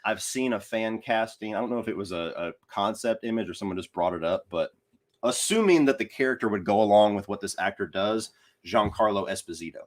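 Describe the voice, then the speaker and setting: A man speaking as a podcast guest, giving his opinions on films in a casual, conversational and measured way. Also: on the low side.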